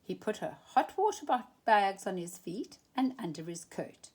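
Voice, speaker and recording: A woman reading a children's picture book aloud expressively, with dramatic emphasis and drawn-out phrases. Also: medium pitch, big pitch swings, slightly rough. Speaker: storytime reader.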